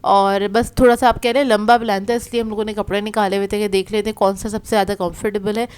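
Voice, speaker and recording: A woman's voice: medium pitch, flat delivery, quick pace, darkish tone, clean tone. A woman with a sore throat chatting in a casual, explanatory way as she narrates a vlog about her day. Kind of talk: casual conversational vlog voice-over.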